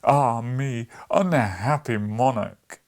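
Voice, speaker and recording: A man voicing a melodramatic storybook king who laments and threatens in a grand, drawn-out, declamatory way. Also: medium pitch. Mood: lamenting.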